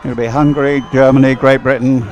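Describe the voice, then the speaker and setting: Informal male race commentator, medium pitch. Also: little pitch movement, brisk, darkish tone, some chatter behind. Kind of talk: live sports race commentary.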